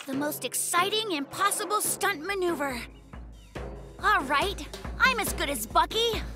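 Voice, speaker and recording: A very high, childlike cartoon bear cub, swooping up and down with showy bravado. The little daredevil talks big and theatrically, over loud music. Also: lively intonation, measured pace. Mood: cocky. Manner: theatrical, exaggerated showman delivery. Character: boastful, excitable young bear cub who rides stunt bikes. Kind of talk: animated children's cartoon dialogue.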